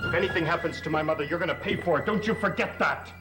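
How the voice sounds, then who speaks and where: A woman's voice: lowish voice, fast talker, over music, lightly acted. A woman playing an anxious, accusing young daughter with intense melodrama in a vintage gothic TV soap. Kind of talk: 1960s gothic soap opera acting.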